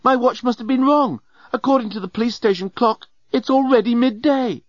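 Storybook alien heroine, played by a man: bossy, exasperated young woman, raised pitch, dry, slightly dull recording. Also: fairly quick, no echo. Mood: urgent, dismayed. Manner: exclaiming, exasperated. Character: attractive young female alien, indignant, urgent, bossy.

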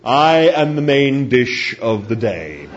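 A man's voice: medium pitch, big pitch swings, slow pace. A man voicing a contented talking bovine animal from a comic story, offering itself politely like an obliging waiter. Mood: contentedly obliging. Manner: polite, deferential waiter-like salesmanship. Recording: dull, noisy recording.

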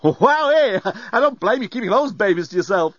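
High, wildly swooping robot bellboy. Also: male voice, sing-song, normal speed, dry recording, highs cut off. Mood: breezy, excited. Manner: exclamatory hipster slang, over-familiar. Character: breezy, cheeky robot bellboy, easily hurt.

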